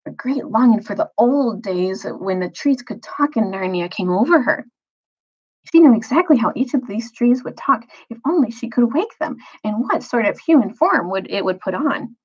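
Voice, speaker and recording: A woman reading a children's novel aloud as a storyteller, phrasing carefully with dramatic pauses and emphasis. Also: medium pitch, breathy edge, lo-fi sound.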